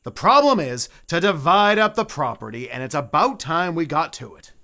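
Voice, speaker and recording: An actor playing an irascible, greedy heir in a radio play, dismissive and blustering. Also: male voice, on the high side, sing-song, normal speed, pushed and bright, heavily acted. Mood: irritated.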